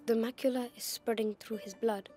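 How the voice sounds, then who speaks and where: A woman's voice: a little high, fairly even intonation, moderate pace, dark tone, close to an everyday voice. A performer with a woman's voice playing a bookish young alchemist's apprentice in a video game, careful and explanatory. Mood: grave, earnest. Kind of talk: dramatic video game acting. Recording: faint music behind the voice.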